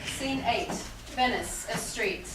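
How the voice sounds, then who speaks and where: A woman's voice: a little high, slow pace. A woman reading scene headings and stage directions aloud at a staged play reading. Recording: very echoey, distant mic, clear noise.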